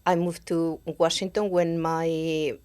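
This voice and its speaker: A middle-aged woman from Spain with a Spanish accent, a company CEO speaking as an interview guest, measured and often restarting her phrases. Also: low voice, flat delivery, a little mellow, clear, resonant tone. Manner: measured, with frequent restarts and repeated words. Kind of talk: conversational interview.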